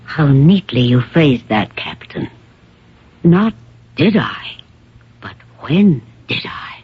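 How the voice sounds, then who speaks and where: Husky, poised older stage actress. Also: female voice, low voice, very animated, exaggerated voice. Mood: cool, guarded. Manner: poised, theatrical, deliberate. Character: proud, worldly older stage actress, coolly evasive wife. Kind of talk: old-time radio drama acting. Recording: dull, muffled vintage radio recording.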